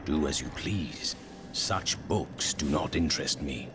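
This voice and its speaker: A man playing a solemn, aloof necromancer priest in a video game, speaking in a measured, formal, grave way. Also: a bass voice, noisy background. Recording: loud music behind the voice.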